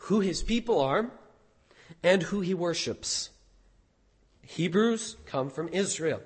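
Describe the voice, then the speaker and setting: A hoarse man preaching a sermon as pastor, animated, with rhetorical questions. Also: on the high side, big-room sound.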